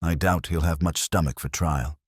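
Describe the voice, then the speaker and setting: A man's voice: a bass voice, fairly even intonation, moderate pace, breathy edge, no echo, studio quality. A man voicing an eager, deferential police sergeant in a detective story, keen and plain-spoken with his superior. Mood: brisk, satisfied.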